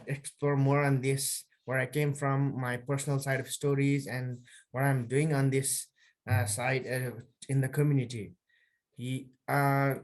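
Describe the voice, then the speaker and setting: A man in his thirties from Nepal with a Nepali accent, answering interview questions in a hesitant way with many fillers. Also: mid-range voice. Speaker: podcast guest, social worker.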